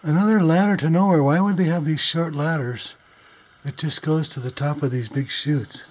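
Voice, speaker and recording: A man's voice: a little high, fairly even intonation, moderate pace, darkish tone, highs cut off, slight background noise. A man exploring an abandoned mine, casually thinking aloud about what he finds. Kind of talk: casual narration while exploring. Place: inside an abandoned underground mine.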